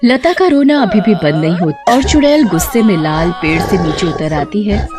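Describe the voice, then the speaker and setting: A woman narrates a scripted story. Her delivery is highly animated at a medium pitch, with quiet music underneath. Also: normal speed.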